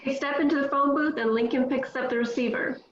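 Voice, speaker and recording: A woman reading stage directions in a steady, matter-of-fact way at a table read. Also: sits high, fairly quick. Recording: echoey, dull, faint noise.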